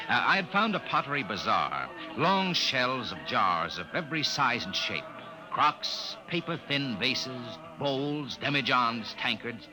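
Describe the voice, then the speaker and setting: Expressive, curious young officer. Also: male voice, mid-range voice, low-quality recording. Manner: deferential, inquisitive, hesitant in dialogue. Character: polite, curious young junior officer, narrating his story. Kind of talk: 1950s radio acting and dramatic narration. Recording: vintage 1950s radio drama recording.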